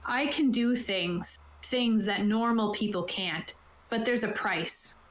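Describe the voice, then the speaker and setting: A woman's voice: a little high, slow. A woman casually explaining tabletop game rules and reading rulebook passages aloud, as a game master on an online call. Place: indoor room, online video call. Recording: roomy, echoey home recording.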